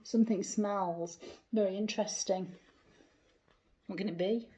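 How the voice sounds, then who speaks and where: A woman reading a picture book expressively to young children, theatrical, asking the listener questions with hushed asides. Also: mid-range voice, steady tone, unhurried. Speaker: primary school storyteller.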